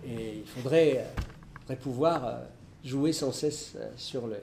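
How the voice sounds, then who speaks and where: Hesitant French lecturer in an echoey hall. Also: male voice, medium pitch, creaky, mellow, a little hiss or hum. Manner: halting, many restarts and fillers, thinking aloud. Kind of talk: public philosophy lecture with questions.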